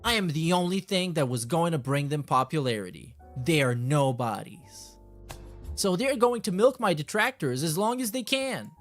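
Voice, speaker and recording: A man's voice: on the high side, expressive, moderate pace, faint music behind. A man hosting a reaction stream, reading messages aloud and commenting casually in a sardonic, dismissive, sweary way.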